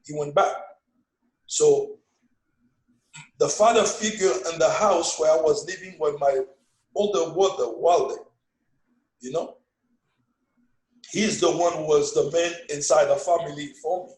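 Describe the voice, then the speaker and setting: Older Haitian-accented man, high voice. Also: a little slow, pauses often, echoey room, low-quality recording. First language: Haitian Creole. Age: 60s. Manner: animated storytelling, broken English phrasing. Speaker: guest, father. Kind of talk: casual family conversation.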